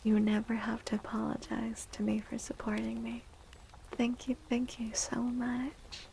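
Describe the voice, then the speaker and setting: Slow, breathy soft-spoken ASMR woman. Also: fairly high pitch, monotone, a little hiss or hum, low-quality recording. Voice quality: breathy, often whispery. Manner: gentle, soothing, unhurried. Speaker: livestreamer reading tarot for viewers.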